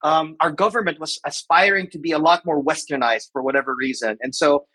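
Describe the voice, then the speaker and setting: A man in his forties from the Philippines, talking casually as an interview guest and often pausing to reflect. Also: on the high side, steady tone, pushed and bright, breathy edge. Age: 40s. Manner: reflective, with many fillers and restarts.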